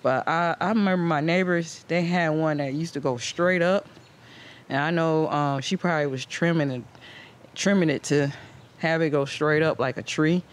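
Higher-pitched man, casual garden chat. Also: steady tone, normal speed. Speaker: host of a home gardening channel. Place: outdoors in a backyard garden. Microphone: handheld camera microphone. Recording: outdoor ambient noise on camera mic.

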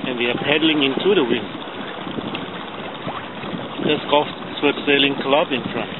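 A man's voice: medium pitch, a little slow. A man casually narrating a kayak club outing from his boat, naming paddlers as they pass. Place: outdoors on a kayak on a windy estuary. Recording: tinny lo-fi camera audio with loud wind and water noise.

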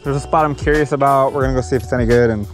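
A man's voice: mid-range voice, steady tone, very fast, over music. A young man chatting casually while fishing from a small boat on a river, saying dude and bro, recorded on an action camera. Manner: laid-back, says dude and bro. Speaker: fishing vlogger. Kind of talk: casual outdoor chat. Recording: action camera with clear wind and water noise.

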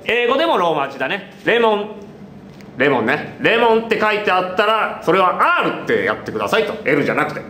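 A man's voice: very animated, brisk, sharp tone. A young man playfully hosting a quiz, teasing and mock-bossy, on a noisy webcast from an echoey studio. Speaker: quiz host. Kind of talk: playful game-show banter. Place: small indoor studio, close to camera. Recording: echoey room, noisy low-quality webcast.

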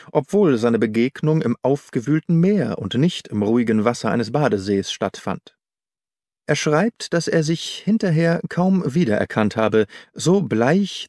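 A man reading a literary audiobook as narrator, measured and deliberate. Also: medium pitch, big pitch swings, darkish tone, no echo, clean studio sound.

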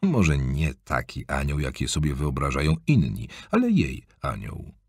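A man reading an audiobook aloud in an expressive, varied storytelling manner, close to a studio mic. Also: a bass voice, unhurried, husky, no room sound. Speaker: audiobook narrator. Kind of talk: scripted audiobook narration.

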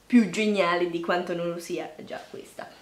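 A woman's voice: fairly low pitch, steady tone, normal speed. A woman chats casually to camera as a vlogger, playful and self-deprecating. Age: early 20s. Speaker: YouTube vlogger. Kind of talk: casual vlog talk to camera. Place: small indoor room with bare walls. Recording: echoey untreated room.

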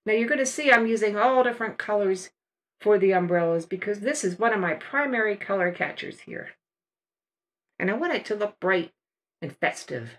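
Relaxed older woman explaining a painting. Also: mid-range voice. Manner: relaxed, unhurried step-by-step explaining. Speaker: watercolor art teacher. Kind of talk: calm voice-over narration of a painting demo.

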